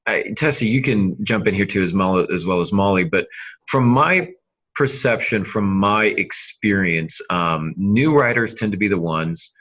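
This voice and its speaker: A man's voice: fairly low pitch, normal speed, fairly bright tone. A man hosting a casual podcast discussion, speaking in a hesitant, lightly teasing way. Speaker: podcast host, novelist. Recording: dull lo-fi sound.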